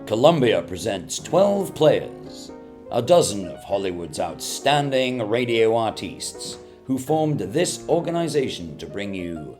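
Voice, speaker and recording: Man performing a classic radio drama announcer, low-pitched, sweeping intonation, declamatory, guitar music behind. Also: darkish tone. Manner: theatrical, measured, declamatory.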